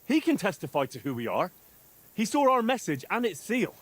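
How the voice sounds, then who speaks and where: An actor playing an earnest, pleading young messenger in a video game. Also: male voice, sits high, wildly swooping pitch, moderate pace, a bit bright, exaggerated voice, rough recording. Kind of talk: cinematic video game acting.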